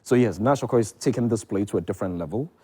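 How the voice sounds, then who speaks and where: Low-pitched Ghanaian man, interview guest. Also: quick pace, warm tone, slightly rough. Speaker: theatre producer and director, interview guest. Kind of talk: conversational TV interview. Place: television studio.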